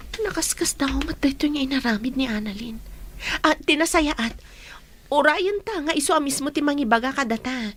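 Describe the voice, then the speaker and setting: A woman's voice: sits high, lively intonation, moderate pace, fairly bright tone. A woman playing a guarded, secretly jealous young friend in a radio drama. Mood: guarded, controlled. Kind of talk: Ilocano radio drama acting.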